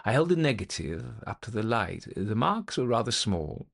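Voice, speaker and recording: A man playing a gentleman investigator who recounts an eerie experience in a fairly low voice. He is methodical and intent, telling his ghost story in measured, formal sentences with deliberate, old-fashioned phrasing. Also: sing-song, vocal fry, heavily acted. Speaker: narrator.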